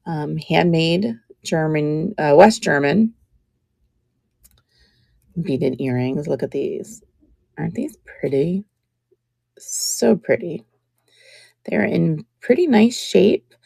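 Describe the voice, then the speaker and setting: Slow, gravelly-voiced woman host. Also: low voice, animated, no echo. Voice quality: scratchy. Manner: slow, chatty, drops to soft whispers while showing pieces. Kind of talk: casual live-stream selling chat.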